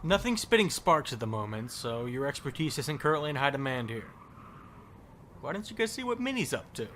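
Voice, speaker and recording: A man's voice: medium pitch, sing-song, a little fast, a bit bright, slight background noise. A man playing a bitter, regretful survivor in a zombie audio drama, sarcastic and slangy but caring underneath. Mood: wry concern. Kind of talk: audio drama acting, casual profane dialogue.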